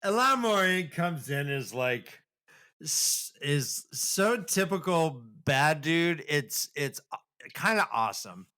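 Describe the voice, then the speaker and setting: A man co-hosting a casual, comedic podcast, retelling a story in an animated, jokey and halting way. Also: fairly high pitch, smooth. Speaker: podcast co-host.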